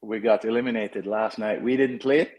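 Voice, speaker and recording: A Jamaican man in his fifties, a soccer head coach, talking casually in an interview with relaxed fillers and restarts. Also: on the low side, moderate pace.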